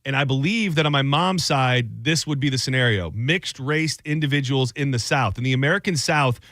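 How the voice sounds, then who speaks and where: A man's voice: medium pitch, a little fast, fairly bright tone, dry recording, clean studio sound. A man from Michigan hosting a radio show, telling a rambling, self-deprecating story in casual banter.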